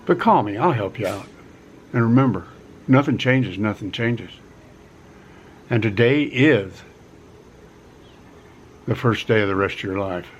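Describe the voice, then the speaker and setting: A man's voice: lowish voice, expressive, unhurried, long pauses, slight background noise. Folksy middle-aged man, lo-fi sound. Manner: folksy, repeats himself, emphatic rises on key words. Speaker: vlogger offering relocation advice. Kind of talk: casual to-camera vlog pitch. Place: quiet rural home.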